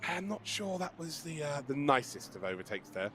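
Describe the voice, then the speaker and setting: A man commentating a live sim race with a croaky, strained voice, excitable and joking. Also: fairly high pitch, normal speed, a little hiss or hum. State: hoarse, losing his voice.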